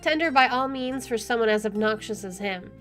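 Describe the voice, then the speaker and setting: An expressive woman playing a game, reading its narration aloud and adding casual, opinionated commentary. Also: on the high side, a little fast. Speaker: let's player. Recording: faint music underneath.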